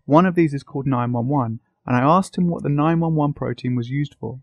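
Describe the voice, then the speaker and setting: A man's voice: medium pitch, a little fast, no echo, dull audio. A man narrating a science video from a script as interviewer and presenter.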